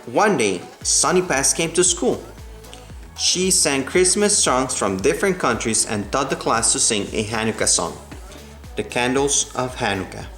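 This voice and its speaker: A man reading a children's book aloud in a measured way, stumbling now and then. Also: medium pitch, lively intonation, fairly bright tone. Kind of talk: storybook read-aloud. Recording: roomy echo, music behind the voice.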